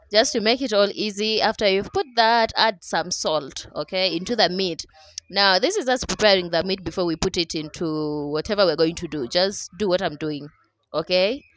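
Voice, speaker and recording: A young Kenyan woman with a Kenyan accent chats her way through a home cooking video, switching between English and her own languages. Her voice sits at a middle pitch and is recorded close and dry. She keeps checking in with the viewer and stays loose and friendly. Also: a bit bright, dry recording. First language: Dholuo. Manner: chatty, laughing, keeps asking "okay?". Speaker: cooking vlogger. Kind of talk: casual cooking voice-over. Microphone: voice-over mic.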